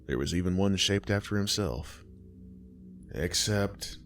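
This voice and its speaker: A man narrating a horror story in a slow, measured storytelling manner. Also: sits low, expressive, darkish tone, slight background noise.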